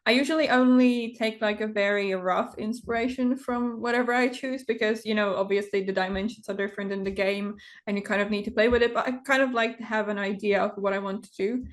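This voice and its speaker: A woman's voice: mid-range voice, steady tone. Interview guest: non-native woman, twenties, hesitant delivery with frequent fillers, video call. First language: not English. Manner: hesitant, many pauses and fillers. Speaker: interview guest, game builder. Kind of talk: casual conversation.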